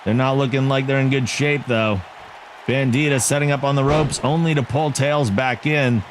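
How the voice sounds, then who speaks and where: A man giving excitable wrestling play-by-play commentary for a video game league, joking about himself between calls. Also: mid-range voice, normal speed. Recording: game crowd and noise behind the voice. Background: video game arena crowd.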